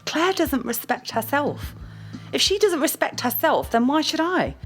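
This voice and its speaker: A woman's voice: sits high, animated, unhurried, faint music behind. A woman with a British accent playing a blunt, scornful, image-obsessed girlfriend in an audio drama, with a cutting, emphatic delivery.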